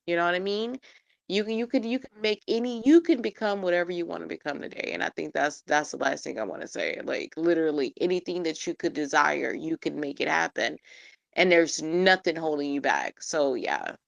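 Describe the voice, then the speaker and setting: Assertive woman, low gravelly voice, dry close sound, live chat participant. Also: brisk, no echo. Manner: assertive, insists on not being interrupted. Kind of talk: casual group discussion.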